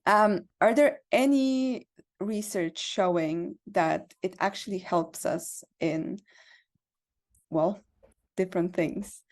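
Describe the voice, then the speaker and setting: Interviewer, female, mid-pitched, polite, a few hesitations. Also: slow pace. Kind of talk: interview questions.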